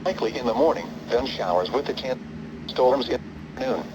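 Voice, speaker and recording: A computer-generated male voice reading a weather forecast in a flat, even way, heard through a radio speaker. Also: mid-range voice, normal speed, muffled recording. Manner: flat, evenly paced machine reading. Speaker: automated weather broadcast. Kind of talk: synthetic forecast readout. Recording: played through a radio speaker with loud crowd-like noise.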